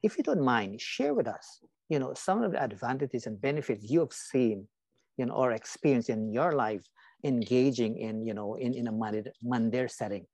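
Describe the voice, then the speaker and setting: A man's voice: mid-range voice, animated, normal speed, darkish tone, no echo. A man hosting an online discussion show, moderating casually in a wordy, appreciative way that often circles back on itself. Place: online video call.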